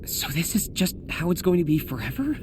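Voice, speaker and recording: An actor with an American accent playing an earnest, youthful, slightly hesitant young superhero in a video game. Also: male voice, fairly high pitch, very animated, brisk. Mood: unsure, searching. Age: young adult. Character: earnest young male superhero with a secret identity. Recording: game audio with clear background noise.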